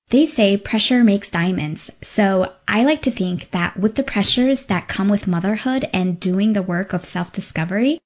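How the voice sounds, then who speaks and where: A woman hosting a podcast, introducing the show and putting questions to her guest. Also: medium pitch. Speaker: podcast host. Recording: slightly dull, lo-fi audio.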